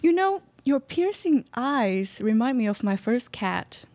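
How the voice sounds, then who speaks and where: A young woman acts an intense, cat-obsessed bachelorette in a radio comedy. Her voice is high. She draws her words out with mournful, overdone earnestness. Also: very animated, measured pace, darkish tone, no room sound. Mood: mournful, wistful. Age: young adult. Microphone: stage microphone on stand.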